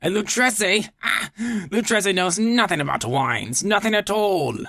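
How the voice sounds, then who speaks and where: A man's voice: sits high, lively intonation, normal speed, vocal fry, sharp tone, no room sound, clean studio sound, voice pushed up, exaggerated voice. A man voicing a boastful, tipsy, hearty wine connoisseur in a storybook reading, exclamatory and scornfully dismissive. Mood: insistent, dismissive. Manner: exclamatory, blustering, dismissive with laughs.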